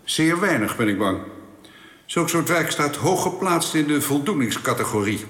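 A man playing a composed, formal official of an alien planet in a science fiction radio drama, speaking in a measured, matter-of-fact way. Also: fairly high pitch, expressive, a bit bright. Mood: matter-of-fact, authoritative. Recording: echoey hall-like reverb.

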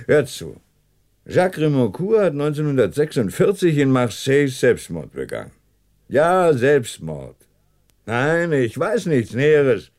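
Composed police commissioner, commanding, dry irony, swooping intonation, 1960s German radio play. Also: male voice, a little high, darkish tone. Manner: brisk, commanding, dryly ironic.

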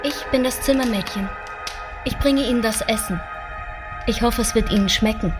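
An actress plays an unsettling young chambermaid who repeats polite phrases flatly. Her voice sits slightly high. Soft music lies under the scene. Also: moderate pace, close to an everyday voice. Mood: eerie. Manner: flat, repetitive, eerily polite. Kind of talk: radio play acting.

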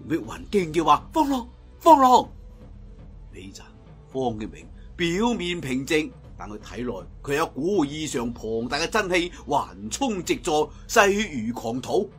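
Animated Cantonese male storyteller over music. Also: medium pitch. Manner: theatrical, emphatic sentence endings. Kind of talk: dramatic traditional martial-arts storytelling narration.

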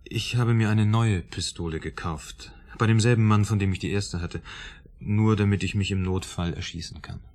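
A man acting in a German radio drama as a proud, troubled psychotherapy patient, speaking earnestly and in a measured way. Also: fairly low pitch, darkish tone, lightly acted. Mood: hesitant confession.

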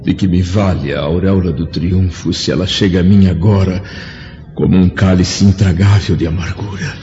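An actor playing a proud, grieving Roman senator in a solemn, declamatory radio drama style. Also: male voice, low voice, steady tone, moderate pace, dark tone, natural voice. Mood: anguished, pleading. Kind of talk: theatrical radio drama acting. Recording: dull lo-fi radio drama, music behind.

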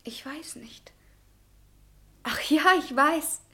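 A woman's voice: sits high, takes it slowly, a bit bright, full character voice. An actress playing a self-assured young woman who speaks in a pointed, teasing way, in a radio play.

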